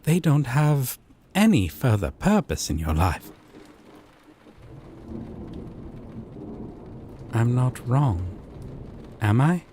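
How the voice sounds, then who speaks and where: A man playing a gentle, possessive yandere boyfriend from a dating sim, speaking tenderly and coaxingly with deliberate pauses in a scripted roleplay. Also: medium pitch, sing-song, a little hiss or hum. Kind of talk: scripted roleplay audio drama.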